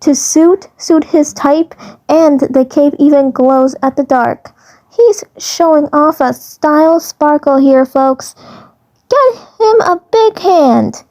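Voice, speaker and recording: A woman voicing a bubbly, excitable cartoon girl who gushes like a show announcer in an amateur fandub. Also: high voice, slow pace, voice pushed up. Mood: proud, upbeat. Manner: gushing showy announcer delivery.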